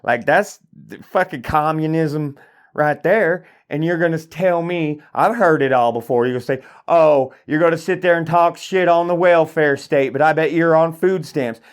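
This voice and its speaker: A man's voice: fairly high pitch, moderate pace, clear, resonant tone, lightly acted. A man playing an angry hillbilly, delivering an indignant, profane, rambling comedic rant. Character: angry rural hillbilly man ranting about politics. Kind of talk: satirical comedic monologue.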